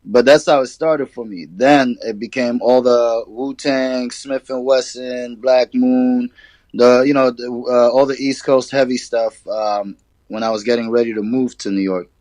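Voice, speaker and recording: A man from Sudan, a rapper guest, talking in a relaxed, casual way with hip hop slang. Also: mid-range voice, unhurried, no echo. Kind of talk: casual interview chat.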